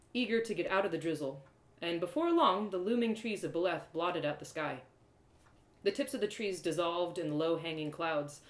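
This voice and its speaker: Woman storyteller, expressive fantasy reading, theatrical phrasing, lively varied pitch, roomy sound. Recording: roomy echo.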